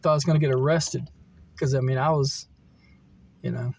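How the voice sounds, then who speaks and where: A middle-aged American man reminiscing casually, in a halting, meandering way as he thinks aloud. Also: mid-range voice, fairly even intonation, vocal fry, darkish tone, husky. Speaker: vlogger telling personal stories. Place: camper van.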